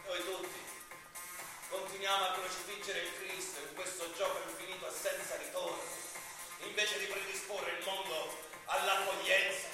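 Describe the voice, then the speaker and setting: Casting: male actor as bitter, indignant everyman in stage monologue, very high voice, rhetorical and colloquial, music behind. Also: bright, forceful tone, exaggerated voice. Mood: indignant, impassioned. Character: man ranting bitterly against mafia and injustice. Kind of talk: theatrical monologue. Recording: very echoey, distant stage recording with music.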